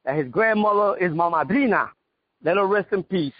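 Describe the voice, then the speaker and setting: A man's voice: high voice, lively intonation, no room sound. Older New York man, dry lo-fi line. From New York, United States. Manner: halting, repeats phrases. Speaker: interview guest, veteran martial artist. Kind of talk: casual reminiscence.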